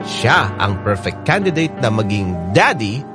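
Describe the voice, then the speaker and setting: A man's voice: on the low side, sing-song, measured pace. A man with a Filipino accent voicing a chatty letter-writer's first-person story for a radio drama, playful and confiding. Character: chatty storyteller reading a thirty-two-year-old woman's letter. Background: plucked guitar music.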